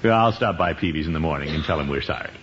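An actor playing a pompous, flustered middle-aged bachelor uncle in a 1950s radio sitcom, blustery with drawn-out reactions, on a dull, hissy old recording. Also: male voice, rumbling low, animated, fairly bright tone.

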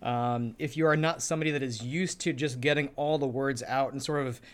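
A man's voice: medium pitch, fairly even intonation, brisk, smooth. A man explaining things in a casual discussion as a podcast co-host.

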